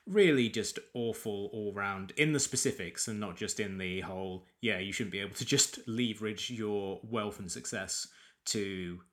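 A man's voice: fairly low pitch, moderate pace. Sniffly man hosting a podcast. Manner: rambling, many restarts. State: sniffly from allergies. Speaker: podcast host. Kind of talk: casual film podcast chat.

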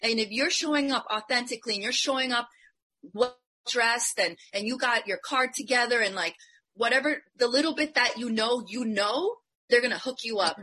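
A woman's voice: on the high side, moderate pace, pushed and bright, slightly rough. A woman from Colombia speaking on a live online business panel, emphatic and motivational.

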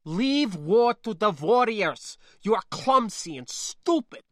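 A man's voice: sits high, very animated, a little slow, bright, forceful tone, dry recording, studio quality, pitched above the performer's own voice, exaggerated voice. A man voicing a proud, fiery young woman, scornful and anxious, with formal, clipped phrasing in an audiobook story. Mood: scornful, heated.

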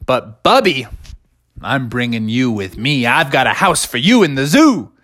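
A storyteller voicing a brisk, no-nonsense male zookeeper in a children's story. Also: medium pitch, sing-song, a bit bright, dry recording, studio quality. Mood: firm.

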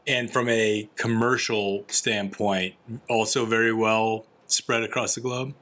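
A man hosting an interview podcast, steering the talk with easy conversational questions. His voice is low yet bright and forward. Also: flat delivery, slow. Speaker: podcast host.

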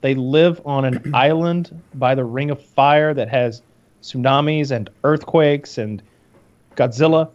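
A man's voice: medium pitch, a little slow, a little mellow, soft background music. Crude joking man on dull lo-fi call. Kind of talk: casual chat. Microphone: video call.

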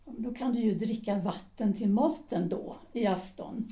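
A woman's voice: medium pitch, dark tone, natural voice. A woman playing an embittered middle-aged wife and former actress in an audio drama, talking with dry, cutting sarcasm. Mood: weary. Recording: roomy room sound.

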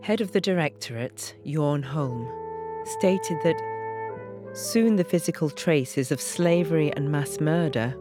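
A man's voice: a little high, measured pace. A man reading scripted documentary narration in the role of narrator. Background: music with brass.

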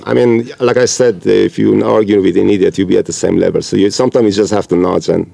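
A man from Iran speaking English as a guest comedian, halting with many restarts and grammar. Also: fairly low pitch, fairly even intonation, vocal fry, mellow, dry recording, studio quality. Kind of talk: casual interview chat.

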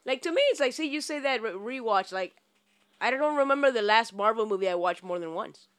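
A man's voice: very high-pitched, lively intonation, a little fast, a bit bright, clean tone. A man chatting casually on a podcast in a laid-back, slightly hesitant way. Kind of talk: casual chat.

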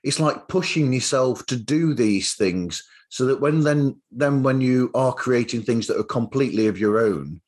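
A man hosts a relaxed interview show with a highly animated, middling-pitched voice. He speaks in an enthusiastic, meandering way as he puts his questions. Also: normal speed, hardly any pauses. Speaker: podcast host interviewer. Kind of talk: casual interview chat.

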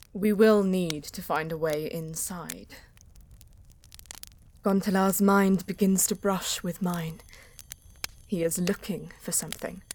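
A woman playing a solemn, guarded alien explorer in a science fiction audio drama, speaking softly and with deliberate care. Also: fairly low pitch, a little hiss or hum. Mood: calm, guarded, then secretive, foreboding. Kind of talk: science fiction audio drama, poetic first-person narration. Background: music.

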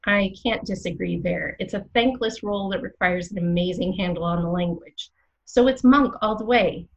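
Casting: actress as opinionated theatre pundit, female, highish creaky voice, rhetorical and emphatic, slightly muffled sound. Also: normal speed, natural voice. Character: opinionated, theatrical woman co-hosting a casting-odds show. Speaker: co-host of a theatre prediction show. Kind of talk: scripted podcast banter and commentary.

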